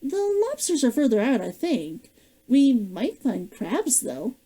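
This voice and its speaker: A woman's voice: on the high side, big pitch swings, slow, mellow, husky, full character voice. A woman voicing an eager young woman traveler in a storybook reading, in close to her own voice. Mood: thoughtful.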